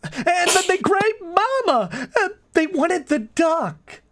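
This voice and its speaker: Woman doing a panicky secretary, old radio drama, super high swoopy voice, stammers, pleads, halting slow delivery. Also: sing-song, noisy background, heavily acted. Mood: hysterical, tearful. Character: frantic, fragile secretary pleading for kidnapped mother. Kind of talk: melodramatic old-time radio acting.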